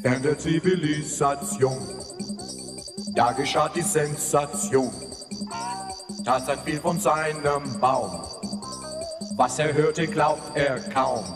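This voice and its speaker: Casting: male singer for kids' pop song, unhurried, heavy reverb. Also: fairly high pitch. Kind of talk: singing children's pop song. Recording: echoey studio reverb over loud music.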